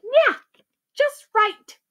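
A woman's voice: very high voice, extremely slow, fairly bright tone, lo-fi sound, voice pushed up, exaggerated voice. A woman voicing a gleefully eager female doctor with exaggerated pronouncements in a children's story.

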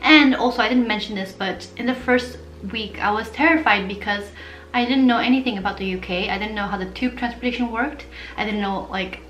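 A woman's voice: fairly high pitch, fairly quick. Chatty young woman in an echoey room. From Portugal. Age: young adult. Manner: chatty, animated, gestures with hands while talking. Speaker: vlogger. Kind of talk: casual talking-head vlog.

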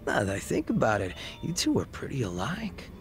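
A man voicing a laid-back, wistful gang leader in his thirties in a video game, reflective, unhurried and casually profane, with a gravelly voice. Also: mid-range voice, huge pitch swings, soft background music. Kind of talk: video game cutscene dialogue acting.

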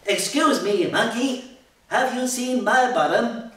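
A man voicing a distraught, plaintive little robot in an animated children's storybook reading, exclaiming and urgently repeating words. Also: very high voice, slow, voice pushed up, exaggerated voice. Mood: panicked. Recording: echoey hall, distant mic.

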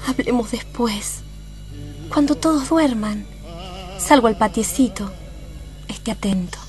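An actress playing an anxious, secretive young sweetheart in a tango radio play, pleading dramatically with an Argentine accent. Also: female voice, a little high, a little slow, darkish tone, lightly acted. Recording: music behind the voice.

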